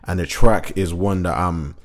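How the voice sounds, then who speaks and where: A man's voice: deep voice, unhurried. A young man hosting his own podcast, talking about his music in a laid-back, rambling way full of slang and fillers. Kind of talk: casual solo chat.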